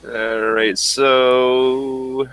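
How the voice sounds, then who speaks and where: A man running a tabletop role-playing game, describing scenes slowly with lots of fillers. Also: medium pitch, level tone, a bit bright, smooth. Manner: halting, with many fillers. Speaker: game master.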